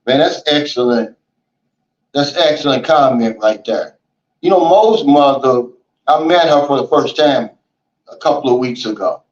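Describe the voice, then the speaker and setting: A man hosting a casual livestream, reading out viewer comments and chatting in a relaxed, folksy way, often saying "man" and "you know". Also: mid-range voice, measured pace. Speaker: livestream host. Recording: roomy and dull, lo-fi.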